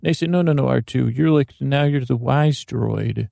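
A man hosting a sleep podcast, talking in a slow, rambling, meandering way that drifts off topic and keeps interrupting itself. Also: mid-range voice, creaky, dark tone, dry recording, clean studio sound. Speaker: podcast host. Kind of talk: rambling bedtime monologue.

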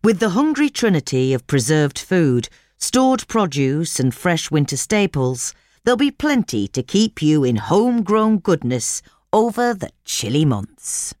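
Female narrator for instructional read-aloud: deep register, very varied melody, measured delivery, dry close sound. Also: sing-song, darkish tone, dry recording. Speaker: narrator reading a gardening magazine article.

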